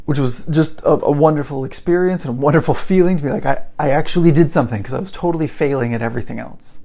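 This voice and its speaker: A man's voice: fairly high pitch, gravelly, darkish tone. A middle-aged man, an English teacher, telling his learners a personal story with an emphatic, repetitive cadence.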